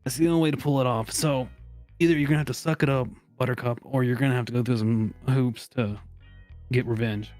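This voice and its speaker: Male advice-show host, mid-pitched, casual and mock-serious, swears freely, music in the background. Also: fairly even intonation, quick pace, a little mellow. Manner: profane, blunt, mock-serious. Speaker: host of a comedy advice segment. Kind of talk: casual, joking group chat and reading aloud.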